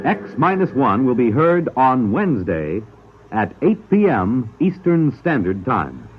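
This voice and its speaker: A man delivers scripted radio credits with a formal, lively, widely inflected voice. The vintage broadcast is muffled, with faint noise behind him. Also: medium pitch, unhurried. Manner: formal, projected announcer delivery. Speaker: radio announcer.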